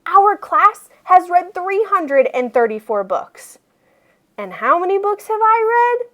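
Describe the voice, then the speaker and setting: A woman reading a children's picture book aloud expressively, with deliberate phrasing for young listeners. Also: very high voice, very animated, dull audio. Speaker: read-aloud storyteller.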